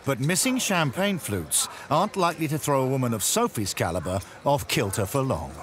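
A man's voice: mid-range voice, sing-song, normal speed. A man with an English accent narrating a documentary in a wry, storytelling way. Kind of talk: scripted narration. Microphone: studio voice-over. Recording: voice-over over loud music. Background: music.

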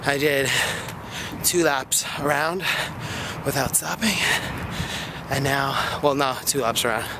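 A man's voice: mid-range voice, takes it slowly. A man in his thirties talking to a phone held at arm's length while walking in a park, chatty and rambling with many fillers and out of breath. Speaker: vlogger. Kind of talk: casual walking vlog. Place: outdoor park path. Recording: noisy outdoor phone recording.

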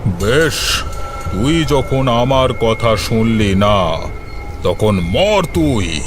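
A man's voice: mid-range voice, very animated, very slow. A man playing a firm, commanding village tantric exorcist in a horror story cartoon. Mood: resolute anger. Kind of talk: dramatized horror story dialogue. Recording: clear background noise.

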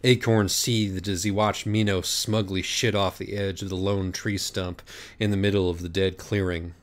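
A man reading a comic story aloud as an audiobook narrator, in a deadpan, wry manner. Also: low voice, normal speed.

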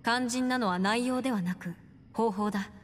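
Young, self-assured scholar heroine for an anime game, played by an actress, higher register, reverberant. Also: female voice, moderate pace, a little hiss or hum, natural voice. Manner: assured, explanatory, crisp phrasing. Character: bright, confident young woman legal scholar. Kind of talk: Japanese anime-style game voice acting. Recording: long reverb, music underneath.

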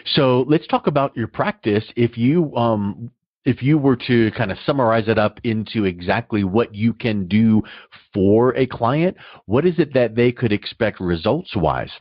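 A man's voice: mid-range voice, animated, unhurried, dry recording. A man hosting an interview in a conversational, reflective, rambling way. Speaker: interview host. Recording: dry, dull lo-fi sound.

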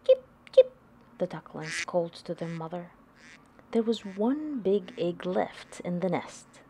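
Female storybook reader for young kids, unhurried and deliberate, slightly low pitch, faint noise behind. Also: warm tone. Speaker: host reading picture books to children. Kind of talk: read-aloud storybook narration.

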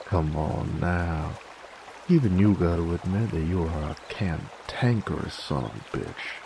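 A man's voice: sits low, lively intonation, unhurried, creaky, noisy background, heavily acted. A man voicing a laid-back, teasing, dominant werewolf bounty hunter in roleplay ASMR, with a folksy, wry American Southern or Western drawl.

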